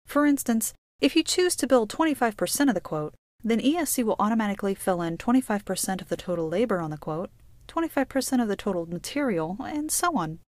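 A woman reading scripted instructional narration for a software tutorial in a measured, explanatory way. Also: medium pitch, lively intonation, no room sound.

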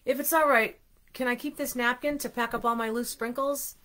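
A woman's voice: fairly high pitch, fairly even intonation, brisk, fairly bright tone, voice pushed up, close to an everyday voice. A woman voicing an eager, tender-hearted male donut who longs to belong, in a children's storybook read-aloud. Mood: downcast, brave.

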